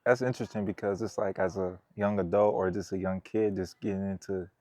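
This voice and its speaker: A man hosting an interview outdoors, relaxed with loose, easygoing phrasing and constant mm-hmms. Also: sits low, brisk, no echo. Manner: laid-back, loose phrasing, many mm-hmms. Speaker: interview host. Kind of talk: casual interview. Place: outdoors in a vineyard.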